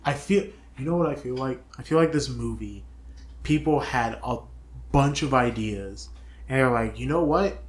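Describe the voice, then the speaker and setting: A man's voice: medium pitch, normal speed. A man co-hosting a podcast, chatting casually and joking about movies. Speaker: podcast co-host. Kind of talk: casual chat reviewing movies.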